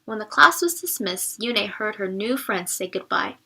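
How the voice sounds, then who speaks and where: Bright-voiced woman reading a storybook aloud. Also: mid-range voice, expressive, normal speed, gravelly, slightly rough. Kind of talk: interactive children's read-aloud with questions and word explanations.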